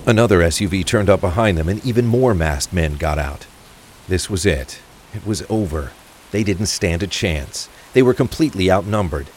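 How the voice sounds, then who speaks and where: A man's voice: sits low, normal speed, a little mellow. A man reading scripted narration for a crime thriller audio drama. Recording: faint rain and traffic ambience. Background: rain, vehicle sounds.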